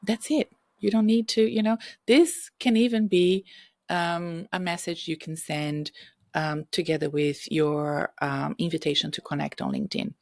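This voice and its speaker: A middle-aged woman hosting a career-advice podcast, hoarse and husky from illness, speaking directly and coaching listeners with frequent "okay?" checks. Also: lowish voice, steady tone, unhurried, darkish tone, no echo, clean studio sound. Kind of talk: solo podcast advice talk. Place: home room.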